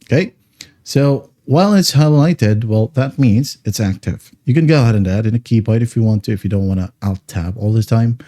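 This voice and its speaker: A man hosting a casual step-by-step software tutorial, often checking in with short tags like okay and right. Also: fairly low pitch, normal speed. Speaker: tutorial host.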